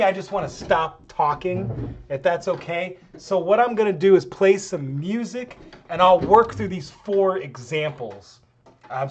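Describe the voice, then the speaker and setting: A man's voice: a little high, lo-fi sound, a little hiss or hum. A middle-aged man teaching a chemistry lab tutorial in a casual, rambling way, his voice worn out. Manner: casual, rambling, self-deprecating. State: voice getting worn out. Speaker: chemistry professor. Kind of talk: screen-recorded lab tutorial.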